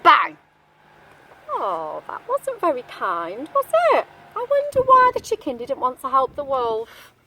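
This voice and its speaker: A woman's voice: sits high, huge pitch swings, a little slow, bright, forceful tone, rough recording. A woman reading a picture book aloud to young children, expressively, with chatty asides and questions to her listeners. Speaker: storybook reader, teacher-like.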